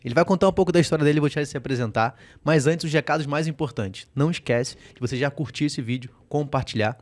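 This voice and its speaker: A man hosting a podcast, talking casually and addressing viewers directly in a friendly, informal way. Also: medium pitch. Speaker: podcast host.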